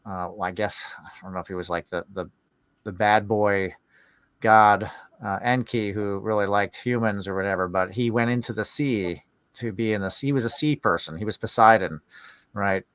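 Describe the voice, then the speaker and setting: A man hosting a podcast in casual, rambling talk, musing aloud in halting phrases. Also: lowish voice, a bit bright, clear, resonant tone, dry recording. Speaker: podcast host.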